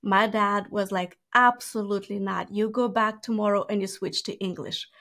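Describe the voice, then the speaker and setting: A woman's voice: medium pitch, steady tone, fairly bright tone. A woman in her thirties from Moldova with a Moldovan accent, a Romanian native speaker telling her story earnestly as an interview guest. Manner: quick, earnest storytelling with light laughs. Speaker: guest entrepreneur. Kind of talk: conversational interview.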